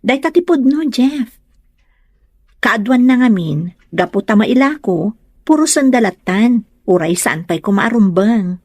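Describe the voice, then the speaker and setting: A woman's voice: on the high side, animated, measured pace, darkish tone, dry recording, studio quality. A woman with a Filipino Ilocano accent acting a humble, polite young country woman in an audio drama. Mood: explaining. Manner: polite, explaining. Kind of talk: radio drama acting in dialogue.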